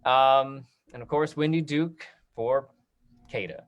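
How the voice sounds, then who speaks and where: Halting, encouraging man hosting online. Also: mid-range voice, muffled recording. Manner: halting, filler-heavy, friendly and encouraging. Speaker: host and online programming director of a theater company. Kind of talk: casual video-call talk-back hosting. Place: online video call.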